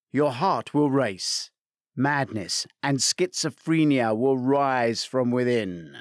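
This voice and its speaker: A man reading a crime thriller aloud as scripted narration, measured and theatrical, lifting his voice for quoted commands. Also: mid-range voice, no room sound, clean studio sound. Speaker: audiobook narrator.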